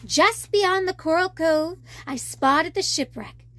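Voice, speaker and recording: A woman's voice: very high-pitched, slow, a bit bright. A woman reading a storybook expressively to children as a storyteller.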